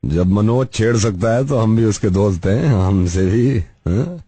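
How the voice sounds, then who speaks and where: Man putting on a low, sleazy street harasser. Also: expressive, a little slow, dark tone, slightly rough, no room sound. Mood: leering, taunting. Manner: drawling, taunting. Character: sleazy, leering young male street harasser. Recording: radio prank call with background music.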